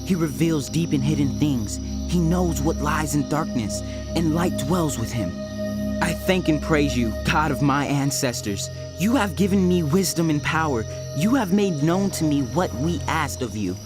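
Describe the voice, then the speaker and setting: An actor playing a devout, solemn seer in a dramatized audio Bible, speaking in reverent, measured, declamatory phrases. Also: male voice, a little high, music in the background, close to an everyday voice. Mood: reverent praise. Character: Daniel, devout, solemn young Hebrew seer.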